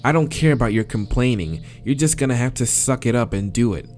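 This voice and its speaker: A man's voice: medium pitch, lively intonation, fairly quick, a little mellow, faint music behind, natural voice. A man voicing a stern, sour cat librarian from a visual novel, curt and commanding.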